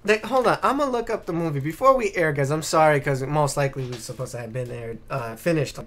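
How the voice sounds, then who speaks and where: A man hosting a casual podcast, speaking bluntly with plenty of swearing and getting animated when exasperated. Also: on the high side, quick pace. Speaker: podcast host. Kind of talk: casual opinionated podcast chat.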